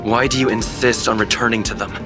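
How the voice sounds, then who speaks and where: A man's voice: mid-range voice, steady tone, quick pace, close to an everyday voice. A man voicing a stern, cold, solemnly admonishing young man in a video game. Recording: music bed under game dialogue.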